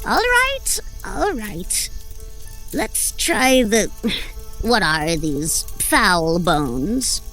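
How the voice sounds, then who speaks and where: Woman as a slow, portentous head witch. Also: medium pitch, big pitch swings, fairly bright tone, faint music behind, exaggerated voice, low-quality recording. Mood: exasperated. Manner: portentous, prim, deliberate. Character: solemn, exasperated leader of a witches' coven. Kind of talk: horror-comedy audio drama acting.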